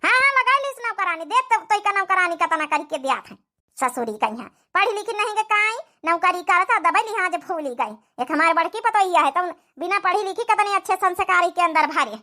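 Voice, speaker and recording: A woman's voice: very high voice, lively intonation, a little fast, full character voice. A woman voicing a scolding, indignant old village mother-in-law in a comic cartoon, with an Awadhi accent and nagging questions. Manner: nagging, repeats sharp questioning tags.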